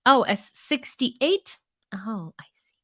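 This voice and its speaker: A woman's voice: fairly high pitch, very animated, takes it slowly, pushed and bright, dry recording, studio quality. Chatty young woman with an American accent. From Ukraine. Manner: chatty, many fillers, laughs often. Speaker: live news streamer. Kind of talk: casual live-stream chat and news reading.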